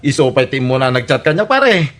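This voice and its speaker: Man playing boastful cheating husband, Ilocano radio comedy, lively swinging pitch, exclamatory, defensive. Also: brisk. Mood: cocky. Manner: exclamatory, dismissive, whining protests.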